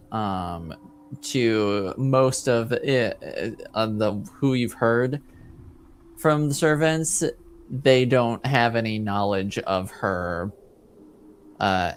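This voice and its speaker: A man running a tabletop roleplaying game as game master, improvising narration and often halting to search for his words. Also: mid-range voice, slight background noise.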